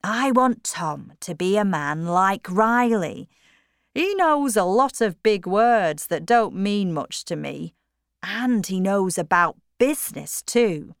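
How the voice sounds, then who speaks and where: A woman doing a stubborn, forceful old-fashioned miller. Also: medium pitch, vocal fry, dry recording, studio quality. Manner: forceful, emphatic, raised voice. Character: stern middle-aged country miller, stubborn and proud.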